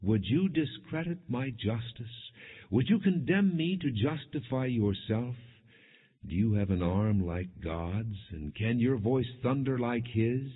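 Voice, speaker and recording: Deep, husky male scripture narrator, phone-like sound. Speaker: audiobook Bible narrator. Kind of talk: scripted scripture narration, non-dramatized reading. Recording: muffled, narrow phone-like sound.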